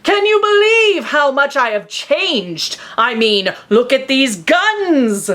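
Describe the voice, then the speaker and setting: A boastful male cosplay rival with a theatrical British accent, acted out by a woman. The lines are stretched out and pushed high, full of grandiose self-regard, in a comedic story reading. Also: big pitch swings, a bit bright, full character voice. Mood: smug, boastful. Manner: drawn-out, grandiose, hammy delivery. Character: smug theatrical male cosplayer, show-off would-be villain rival.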